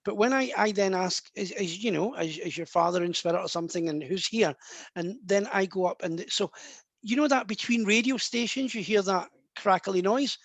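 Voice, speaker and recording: A man's voice: high-pitched, normal speed, no room sound. A man working as a psychic medium in a live online session, talking in a chatty, rambling way full of restarts.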